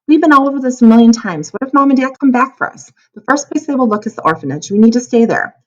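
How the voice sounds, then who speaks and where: A woman's voice: on the high side, expressive, quick pace, dull audio, pitched above the performer's own voice, lightly acted. Woman reading as a stubborn, suspicious orphan girl. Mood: argumentative. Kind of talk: children's storybook read aloud.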